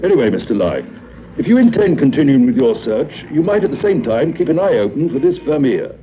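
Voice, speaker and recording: An actor playing a big, solid police inspector with an English accent, politely needling and suspicious, in a 1950s radio drama. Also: male voice, medium pitch, very animated, brisk, slight background noise, lightly acted. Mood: polite, insinuating. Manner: polite, wryly insinuating. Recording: muffled vintage 1950s radio recording.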